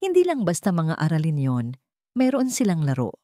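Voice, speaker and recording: Dramatic woman storyteller with sweeping pitch. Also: huge pitch swings, moderate pace, dark tone, no room sound, studio quality. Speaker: story narrator. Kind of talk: dramatic scripted narration.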